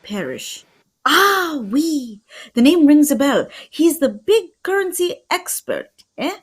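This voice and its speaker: A woman's voice: high voice, sing-song, slow pace, heavily acted. A woman playing a composed, self-assured male detective, speaking precisely and theatrically in an old-time radio mystery. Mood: soothing, grand.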